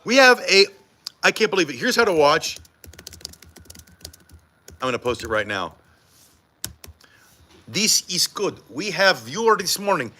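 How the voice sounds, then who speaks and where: Bright, lively male morning TV host. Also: fairly high pitch, measured pace, long pauses. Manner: jokey, teasing, self-mocking. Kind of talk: live behind-the-scenes morning show banter. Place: TV studio during a live broadcast.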